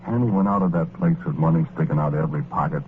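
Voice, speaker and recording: An actor playing a wistful working-class gambler ghost, narrating a 1940s radio drama in folksy, slangy talk. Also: male voice, rumbling low, racing, background noise. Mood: matter-of-fact. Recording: tinny old radio recording, loud music behind.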